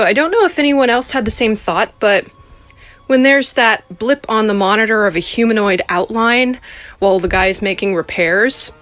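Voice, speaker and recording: A woman's voice: mid-range voice, a bit bright. Musing woman chatting over faint music. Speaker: listener leaving podcast feedback. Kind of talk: casual personal commentary.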